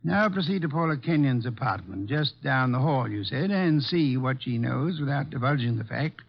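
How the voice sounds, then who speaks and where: An actor playing an imperious, impatient genius detective in an old-time radio drama, curt and sardonic, on a dull recording. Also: male voice, mid-range voice, animated, normal speed, creaky.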